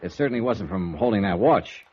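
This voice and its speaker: A man's voice: on the low side, lively intonation, brisk, darkish tone, natural voice. An actor playing a wry, probing male private investigator in a vintage radio noir drama. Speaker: lead actor and narrator. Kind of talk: 1950s radio noir acting. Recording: muffled old radio recording, faint noise.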